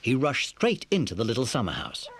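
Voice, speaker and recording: Lively, animated male storyteller. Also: mid-range voice, brisk, creaky, very noisy. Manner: expressive storytelling. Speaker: narrator. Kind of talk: scripted children's story narration.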